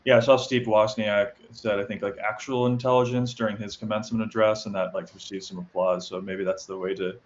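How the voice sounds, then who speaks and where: A man's voice: fairly low pitch, flat delivery, brisk, few pauses. A man hosting a conversational news interview, putting questions to guests. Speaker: interview host. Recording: muffled audio with clear background noise.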